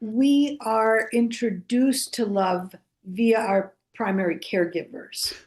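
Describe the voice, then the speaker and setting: A woman whose first language is Greek, a guest author speaking thoughtfully, with pauses and fillers. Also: on the high side, steady tone, darkish tone. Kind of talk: casual conversational interview.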